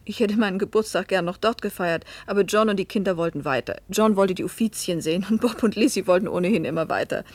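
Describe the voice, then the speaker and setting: An actress plays a pensive middle-aged woman telling her own story in a German radio play. Her voice is husky and sits at a medium pitch. She speaks in a measured, literary way, at times sinking toward a whisper. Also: no echo, close to an everyday voice. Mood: reflective. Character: pensive 41-year-old American wife and mother recounting a fateful journey.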